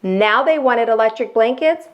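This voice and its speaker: A woman hosting a children's storytime, reading expressively with deliberate emphasis, like a teacher. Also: a little high, dull audio. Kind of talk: expressive read-aloud storytelling for young children.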